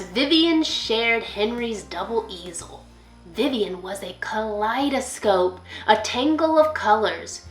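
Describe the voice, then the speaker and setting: A woman reading a children's picture book aloud as a school librarian, expressively, pausing to put questions to young listeners. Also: on the high side, fairly bright tone, reverberant.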